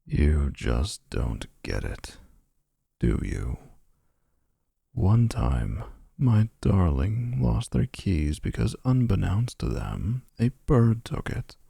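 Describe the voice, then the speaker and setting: A man's voice: deep voice, sing-song, slow, creaky, warm tone, husky, no room sound, clean studio sound, exaggerated voice. A man voicing a possessive, smug male vampire suitor in a boyfriend roleplay, talking in a menacing, boastful way.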